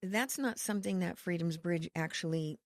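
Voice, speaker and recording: A woman from Connecticut, a ministry leader, explaining her work in an earnest, faith-filled, measured way as a podcast guest. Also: lowish voice, no echo, studio quality. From Connecticut, USA. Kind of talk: podcast interview.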